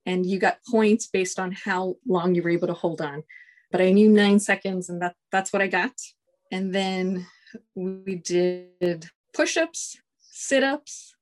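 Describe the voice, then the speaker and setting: A woman in her forties whose first language is Spanish, a colonel telling stories in a casual interview, relaxed and chuckling often. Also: medium pitch. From United States. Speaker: guest, Air Force colonel.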